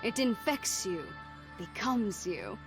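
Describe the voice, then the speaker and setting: A woman's voice: medium pitch, very animated, takes it slowly, over music. An actress voicing a joyous, boastful young woman warrior in a video game, grand and theatrical, proclaiming her fearlessness. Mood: grand, solemn. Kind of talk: video game champion voice acting.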